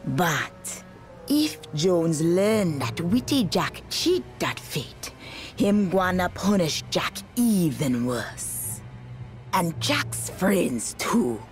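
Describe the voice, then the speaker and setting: A woman playing a mysterious, knowing pirate sorceress with a Caribbean accent in a video game, telling tales in an ominous, drawn-out way. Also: on the low side, big pitch swings, soft background music, full character voice.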